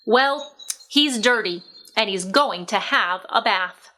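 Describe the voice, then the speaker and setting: A woman voicing a brisk, determined farmer's wife in a storybook, with an emphatic, no-nonsense manner. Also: mid-range voice, bright, forceful tone. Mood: insistent.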